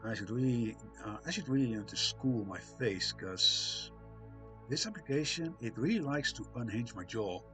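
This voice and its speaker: A man live-streaming, chatting casually with viewers, reading chat aloud with a soft, soothing voice and self-deprecating humour. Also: medium pitch, lively intonation, moderate pace, faint music behind.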